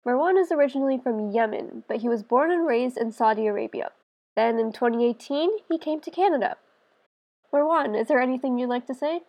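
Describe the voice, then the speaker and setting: Young woman host with a higher voice. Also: a little fast, darkish tone. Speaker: podcast host introducing a guest. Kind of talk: casual podcast introduction.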